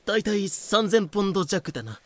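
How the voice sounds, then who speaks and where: An actor playing a rough, casual-spoken, doubtful pirate crewman in an audio drama. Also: high voice, sing-song, fairly quick, fairly bright tone, dry recording. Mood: matter-of-fact.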